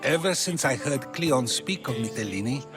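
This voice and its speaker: Male game role, acted: sly, earnest philosopher of ancient Greece, mid pitch, animated melody, rhetorical and measured. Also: music in the background, close to an everyday voice. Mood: conspiratorial, assured. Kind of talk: video game dialogue acting.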